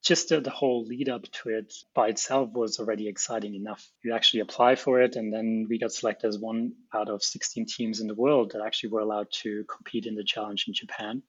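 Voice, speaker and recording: Husky middle-aged Austrian man, roomy sound. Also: lowish voice, little pitch movement, brisk, few pauses, dull audio. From small Alpine town in Austria. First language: German. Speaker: guest, robotics researcher and company founder. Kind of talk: explanatory interview answers.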